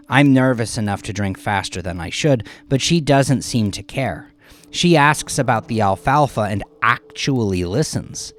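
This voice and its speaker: A man narrating a first-person dramatic audio story in a confessional, conversational manner with dramatic pauses. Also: on the low side. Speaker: narrator of his own horror story. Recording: music behind the voice. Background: background music.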